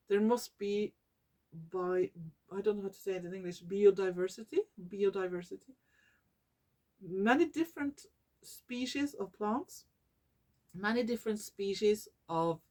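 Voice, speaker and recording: A Norwegian woman vlogging in English, her second language, often pausing to search for words and correcting herself. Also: mid-range voice, a little mellow. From Norway. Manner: slow and searching for English words, many fillers and self-corrections. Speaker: YouTuber. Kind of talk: casual talking-head vlog.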